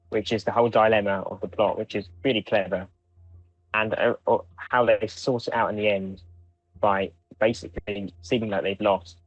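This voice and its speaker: A man co-hosting a podcast in casual chat, speaking in halting pieces and repeating words, on a dull microphone. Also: on the low side, dry recording. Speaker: podcast co-host. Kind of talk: casual chat reviewing audio drama.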